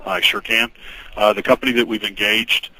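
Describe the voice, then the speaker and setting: A man speaking as a company executive, answering questions on an investor conference call in a measured, formal, guarded way. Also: fairly low pitch, flat delivery, sharp tone. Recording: noisy conference call recording.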